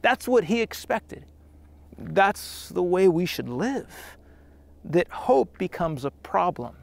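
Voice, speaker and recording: Earnest pastor preaching outdoors, higher voice. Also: male voice, slow, vocal fry. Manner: earnest, conversational, with restarts and lively emphasis. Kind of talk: sermon. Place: outdoors on a dirt trail.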